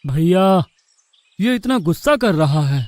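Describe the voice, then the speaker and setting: A high-voiced, fiery younger brother of a god acted by a man in a devotional cartoon. He is loyal and short-tempered, with a protesting manner. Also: animated, takes it slowly, darkish tone, no room sound. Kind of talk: animated devotional story acting.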